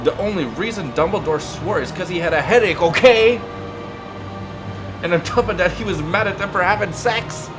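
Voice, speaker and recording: A man's voice: sits high, moderate pace, music in the background, voice pushed up, natural voice. A man voicing a defensive, petulant teenage girl making emphatic asides.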